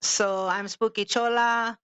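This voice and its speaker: A woman's voice: fairly high pitch, level tone, slow pace, fairly bright tone, clean tone. A woman in her fifties from Los Angeles, co-hosting a podcast in a laid-back, chatty way and swearing casually. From Los Angeles, California. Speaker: podcast co-host. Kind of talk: casual chat. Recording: dull lo-fi sound.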